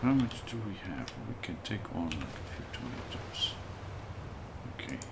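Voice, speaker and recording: A man's voice: on the low side, moderate pace, vocal fry, very noisy. A man streaming a video game, casually thinking aloud and chatting with his viewers as he plays. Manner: relaxed, thinks aloud and chats with viewers while playing. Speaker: livestreamer playing a video game. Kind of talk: casual let's-play commentary.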